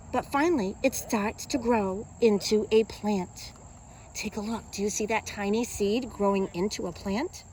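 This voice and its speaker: A woman reading a picture book aloud to young children as a kindergarten teacher, in an expressive, teacherly way. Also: a little high, slight background noise, poor audio. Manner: expressive, simple teacherly delivery with questions to young listeners.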